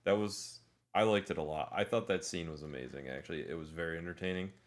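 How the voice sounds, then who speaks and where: A man co-hosting a podcast, discussing films casually in a measured, hedging, conversational way. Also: low voice. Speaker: podcast co-host.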